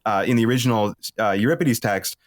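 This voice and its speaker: A man speaking casually as a podcast guest, explaining ideas about storytelling in a thoughtful, halting way. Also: fairly low pitch, no echo, clean studio sound. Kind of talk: casual discussion of drama and storytelling.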